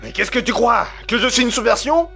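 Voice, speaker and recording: A man's voice: very high voice, lively intonation, brisk, vocal fry, pushed and bright, faint music behind, full character voice. A man playing a desperate, unstable time-traveller husband in an audio drama, stammering and agitated as he pleads and defends himself. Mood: indignant, desperate. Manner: stammering, agitated, breaks off phrases. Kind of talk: amateur audio drama acting.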